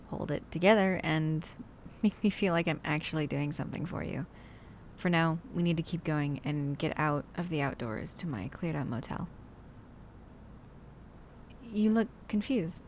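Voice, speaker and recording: A low-pitched female survivor of the zombie apocalypse, acted by a woman in an ASMR roleplay. She is cautious and caring, rambling conversationally to her companion with hesitant asides. A faint rumble sits behind the voice. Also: measured pace. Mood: caring, practical. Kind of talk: ASMR roleplay audio drama, addressing the listener.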